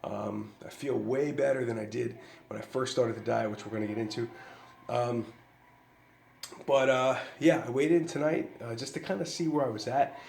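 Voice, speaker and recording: A man's voice: medium pitch, normal speed, vocal fry, a little mellow, roomy sound, a little hiss or hum. A man vlogging a casual fitness update, a bit nasal from a cold, loose and rambling with many ums and restarts.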